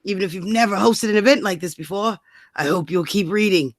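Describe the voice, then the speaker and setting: A woman's voice: mid-range voice, fairly quick, dry recording, clean studio sound. A woman co-hosting a rant show in casual banter, blunt and mocking, swearing freely. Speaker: co-host of a political rant show.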